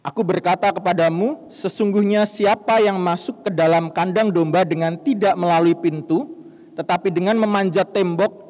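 A male preacher with a broad Banyumas Javanese accent and a somewhat high voice. He reads and preaches with emphatic, rising delivery. The sound carries a long church reverb. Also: darkish tone. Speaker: pastor. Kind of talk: sermon and scripture reading.

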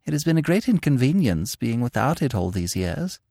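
Brief: male reader as a genteel spinster governess, high and fussy, swooping pitch. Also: very animated, a little fast, gravelly, mellow, slightly rough, no echo, clean studio sound, full character voice. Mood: prim. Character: flustered prim spinster governess, middle-aged woman.

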